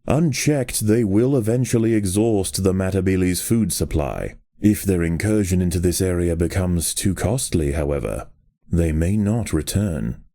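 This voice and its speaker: Male game narrator, very low and dark-toned, solemn nature documentary read, measured and dramatic. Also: no room sound, studio quality.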